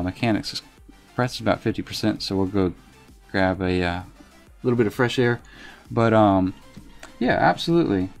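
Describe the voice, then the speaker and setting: A soft-spoken man giving casual commentary as he plays a game live and answers his chat in a reserved, unhurried way. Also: fairly low pitch. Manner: reserved, unhurried, talks while playing. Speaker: live streamer.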